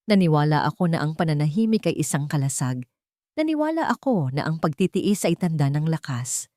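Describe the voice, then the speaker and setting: A woman's voice: low voice, sing-song, a little fast, dark tone, no room sound, studio quality. A woman voicing a resilient young mother and survivor who speaks steadily and earnestly in an audio drama. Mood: resolute, hopeful.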